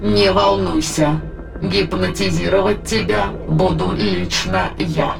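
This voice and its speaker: A man playing a cold, calculating alien entity in an audio drama, speaking in a measured, clinical way over music. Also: high-pitched, big pitch swings, gravelly, heavily acted. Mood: calm, matter-of-fact. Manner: measured, clinical, matter-of-fact. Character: alien immaterial entity in a dead woman's body, cold, calculating.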